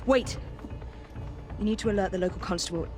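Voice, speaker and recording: A woman's voice: medium pitch, brisk, lightly acted. An actress playing a fierce, determined female assassin detective in a video game, urgent and commanding. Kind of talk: video game cutscene acting. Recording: music behind the voice.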